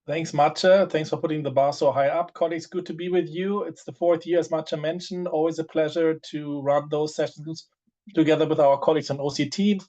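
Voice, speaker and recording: A man's voice: fairly high pitch, little pitch movement, brisk, mellow. A man moderating an online panel, speaking with many fillers and restarts over a video call. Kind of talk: webinar moderation.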